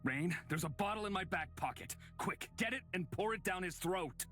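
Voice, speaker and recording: A man's voice: sits high, animated, moderate pace, sharp tone, soft background music. A man playing a cocky, jokey leader of a travelling band in a visual novel, brash and sardonic. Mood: urgent.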